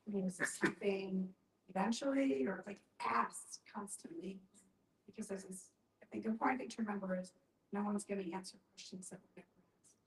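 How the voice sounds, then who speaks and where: Woman talking in a group chat, explains stuff with example questions, roomy echo, medium pitch. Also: steady tone, brisk, distant mic, a little hiss or hum. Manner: explanatory, often voices example questions aloud. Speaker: panel speaker on end-of-life care. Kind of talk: conversational group discussion. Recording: echoey room.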